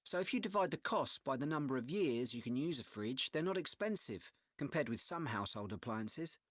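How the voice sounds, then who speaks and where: A man acting as a young male student discusses a presentation in a scripted listening-test dialogue. His pitch is middling and the sound is very dry. He comes across as measured, understated and agreeable. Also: expressive, no room sound, lightly acted. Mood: thoughtful.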